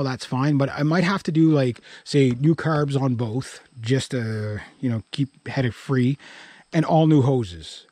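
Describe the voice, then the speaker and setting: A man's voice: mid-range voice, a little mellow, no echo. A middle-aged Canadian man chatting casually for a vlog outdoors, rambling and thinking aloud. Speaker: vlogger. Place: outdoors in a grassy yard by a pickup truck. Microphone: action camera worn on body or held at arm's length.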